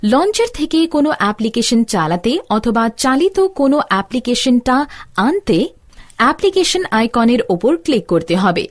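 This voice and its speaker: A woman's voice: fairly high pitch, sing-song, moderate pace, no echo, clean studio sound. A woman reading a scripted instructional narration as a tutorial narrator.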